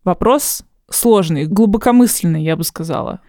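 A woman's voice: on the low side, moderate pace, mellow, no room sound, studio quality. A woman in her twenties hosting a casual podcast, playful and laughing often. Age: 20s. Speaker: podcast host. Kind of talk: casual comedic podcast chat.

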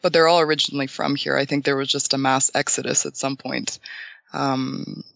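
Gravelly young man, hesitant and thoughtful. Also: mid-range voice, flat delivery, moderate pace, sharp tone, no echo. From Bulgaria. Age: 20s. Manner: thoughtful, many ums and restarts. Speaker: guest. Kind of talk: casual interview answers.

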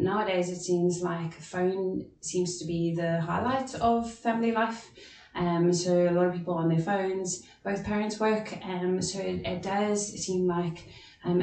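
A middle-aged woman from South Africa with a South African accent, a social worker speaking thoughtfully with many pauses on a podcast panel. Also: mellow. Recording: echoey room with faint rumble.

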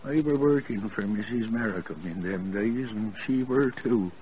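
An actor playing an old rustic farmhand, telling a sad local tale in plain country speech, in an old radio play. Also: male voice, fairly low pitch, natural voice. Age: older. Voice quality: rural dialect grammar, slow folksy telling. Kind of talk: 1940s radio acting. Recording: dull old radio broadcast with hiss.

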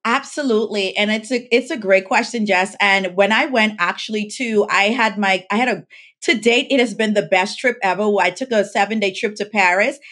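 A woman's voice: medium pitch, normal speed, fairly bright tone. A woman from the Bahamas, a pharmacist and life coach, telling her story as a podcast guest and acting out the quoted lines. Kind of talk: casual interview chat.

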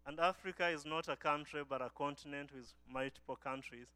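A man's voice: on the high side, brisk, sharp tone, smooth, dry recording. A young man from Uganda giving an earnest church testimony as a guest speaker, often restarting his phrases.

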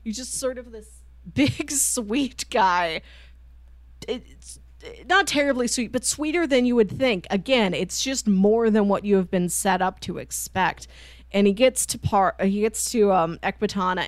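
A woman co-hosts a casual podcast in a very dry, close-sounding recording. Her pitch is average, and she retells things in an animated, conversational way with chuckles mixed in. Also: a little slow, dry recording. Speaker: podcast co-host. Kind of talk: casual chat reviewing manga.

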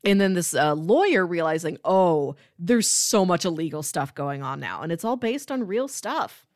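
A woman co-hosting a podcast in a casual, informal chat, describing things in a conversational and animated way. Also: lowish voice, moderate pace, dry recording, clean studio sound. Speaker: podcast co-host. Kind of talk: casual chat, pitching movies.

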